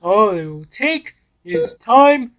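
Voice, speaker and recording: A woman playing a big, placid cat who talks in a slow, measured way in an audiobook. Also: fairly high pitch, very animated, a bit bright, full character voice. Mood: calm.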